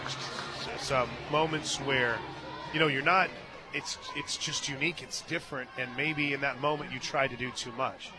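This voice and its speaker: A man calling softball live on radio as play-by-play announcer, mixing clipped pitch calls with conversational asides. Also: steady tone, normal speed. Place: radio booth at an outdoor softball stadium. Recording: live stadium booth, clear crowd noise. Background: stadium crowd.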